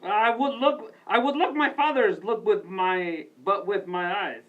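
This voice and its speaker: A woman playing a stubborn young daughter, halting and earnest, in a live Shakespeare reading with slightly dull sound. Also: mid-range voice, lightly acted. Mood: pleading, defiant.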